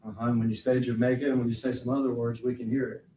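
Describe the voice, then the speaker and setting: A man questioning a witness in a courtroom, slow and deliberate. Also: medium pitch, little pitch movement, mellow, a little hiss or hum. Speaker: prosecutor. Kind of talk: courtroom direct examination. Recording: roomy courtroom audio from far off.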